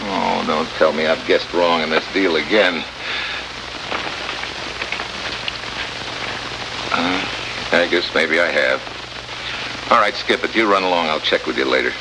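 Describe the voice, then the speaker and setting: Tough american radio detective type, lowish voice, wisecracks, slangy, noisy old 50s recording. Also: male voice, moderate pace. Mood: pressing. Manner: clipped, slangy, sardonic. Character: tough, wisecracking American male secret agent, hard-boiled and confident. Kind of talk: 1950s radio drama acting, hard-boiled narration.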